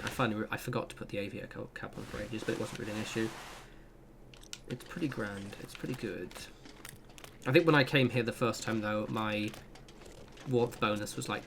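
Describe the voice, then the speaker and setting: A man's voice: on the low side, moderate pace, creaky, a bit bright, a little hiss or hum. A young man giving a casual, rambling gameplay guide with self-deprecating asides. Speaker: gaming channel host.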